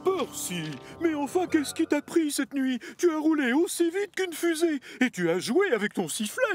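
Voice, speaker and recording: A man's voice: very high-pitched, very animated, moderate pace, soft background music. A man playing a pompous, scolding railway controller in a children's cartoon. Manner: pompous, reprimanding. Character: stern, scolding male railway controller, boss. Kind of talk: children's cartoon dub acting.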